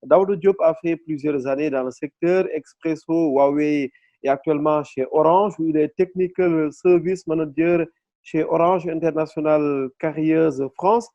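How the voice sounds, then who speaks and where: A man's voice: fairly high pitch, fairly even intonation, warm tone, no echo. Formal male interview host, dull sound. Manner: formal, measured, with frequent fillers.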